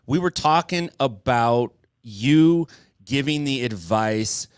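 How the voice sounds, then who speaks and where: A man's voice: mid-range voice, slow pace, clear, resonant tone, no room sound. Chill podcast host guy, casual and a bit stumbly, dry close sound. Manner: relaxed, stumbles and laughs, swears casually.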